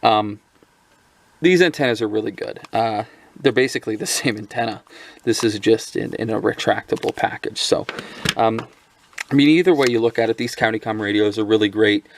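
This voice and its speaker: Low gravelly guy rambling thru a gadget review, lots of ums. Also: moderate pace, slight background noise. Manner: rambling, many fillers and restarts. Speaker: radio gear reviewer. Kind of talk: casual product review.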